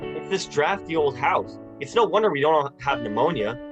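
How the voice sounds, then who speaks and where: A man's voice: a little high, expressive, normal speed, highs cut off, music in the background, close to an everyday voice. A man playing a short-tempered, desperate family man in a radio play, agitated and stammering. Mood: irritable, bitter. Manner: agitated, stammering, repeating phrases. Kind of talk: radio drama acting.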